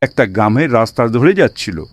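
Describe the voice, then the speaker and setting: A man's voice: mid-range voice, animated, fairly quick. A man reading a scripted moral story as its narrator.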